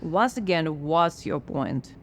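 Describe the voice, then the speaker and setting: A woman's voice: sits low, animated, takes it slowly, a little hiss or hum, exaggerated voice. A woman playing a skeptical, frazzled scriptwriter in a radio drama, dry and sardonic.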